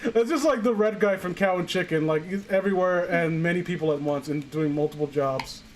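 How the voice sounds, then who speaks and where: A man's voice: high-pitched, fairly quick, a little hiss or hum. A young man casually reading fan fiction aloud as a host, breaking off for sarcastic, sweary asides.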